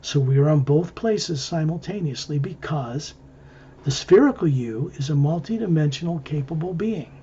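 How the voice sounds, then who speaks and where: A man leading an improvised guided visualization, narrating in measured, halting short phrases. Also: fairly high pitch, lively intonation, dark tone, lo-fi sound, slight background noise. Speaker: guided meditation leader.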